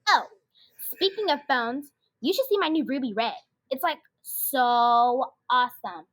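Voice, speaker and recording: A young girl acts out a phone-obsessed schoolgirl in a comedic radio play. Her voice is very high and bright, gushing and chatty, quick to turn whiny. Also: lively intonation, exaggerated voice. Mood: gushing, carefree. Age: child about twelve.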